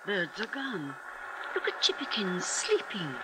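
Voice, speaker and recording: A woman's voice: mid-range voice, huge pitch swings, unhurried. A woman playing an anxious, plaintive wife in a 1950s radio drama, speaking in short, hesitant phrases. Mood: subdued. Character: anxious wife sheltering with her husband. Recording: noisy 1950s radio broadcast recording.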